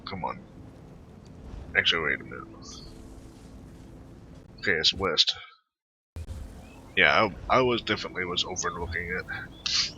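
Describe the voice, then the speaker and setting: A man's voice: sits low, lively intonation, measured pace, creaky, long pauses, bright, forceful tone, slight background noise, rough recording. A man giving casual game commentary, thinking aloud and repeating words to himself as he plays. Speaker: gamer recording a playthrough.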